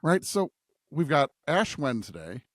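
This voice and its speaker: A man's voice: on the high side, big pitch swings, unhurried, no echo. A man co-hosting a podcast, casually discussing scripture and thinking aloud as he checks in with his partner. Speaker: podcast co-host.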